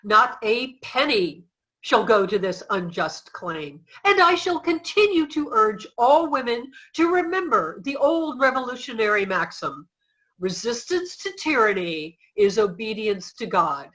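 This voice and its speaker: A woman's voice: on the high side, big pitch swings, unhurried, fairly bright tone, low-quality recording. A woman playing a defiant, dignified suffragist on trial, speaking oratorically and emphatically in a reader's theater piece. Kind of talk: reader's theater courtroom speech.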